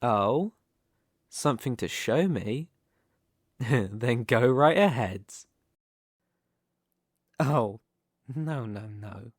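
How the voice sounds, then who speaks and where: A man playing a smug, menacing, possessive mafia boss, speaking in a taunting, silky, deliberate way in a dramatic ASMR roleplay. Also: medium pitch, lively intonation, no echo, studio quality. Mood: smug, quiet menace.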